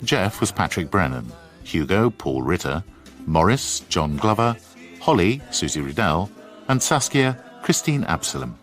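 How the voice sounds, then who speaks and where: A man with a low voice announces radio drama credits. His scripted delivery has lively pitch movement, over faint music. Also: a little slow. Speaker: continuity announcer reading closing credits.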